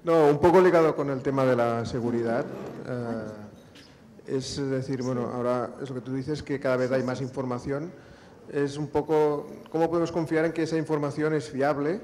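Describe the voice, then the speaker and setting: A man's voice: medium pitch, fairly even intonation, normal speed, darkish tone. A man in the audience hesitantly asking a question, with many fillers. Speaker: audience member. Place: large banquet hall. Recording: hall reverb with faint noise.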